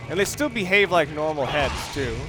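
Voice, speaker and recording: A man's voice: on the high side, expressive, normal speed, poor audio. A man giving casual let's play commentary, rambling and thinking aloud as he explains what he is doing. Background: game music and sounds.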